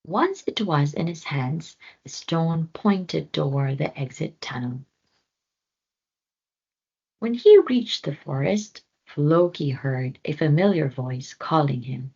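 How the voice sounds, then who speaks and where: Storytime reader, woman, low and husky, unhurried. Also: expressive, a little mellow, dull audio. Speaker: read-aloud storyteller. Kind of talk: children's storybook reading.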